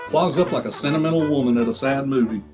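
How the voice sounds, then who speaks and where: An actor playing a boastful, wisecracking husband in a 1940s-style radio comedy. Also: male voice, mid-range voice, fast talker, over music, natural voice. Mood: brash. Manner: brash, wisecracking. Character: boastful, blustering middle-aged husband.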